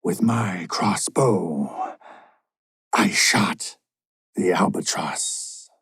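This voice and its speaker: A man as a grave old sailor, deep and slow. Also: creaky, fairly bright tone, breathy edge, no echo, studio quality, exaggerated voice. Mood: hushed. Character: old weathered male sailor telling his tale.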